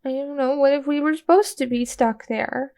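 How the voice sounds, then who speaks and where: Casting: woman as an anxious, withdrawn girl in a tabletop game, fairly high-pitched, terse and unsure. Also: moderate pace, a little mellow. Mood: downcast, anxious. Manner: terse, insecure, plain. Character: Dorothy, timid, downcast, guarded girl.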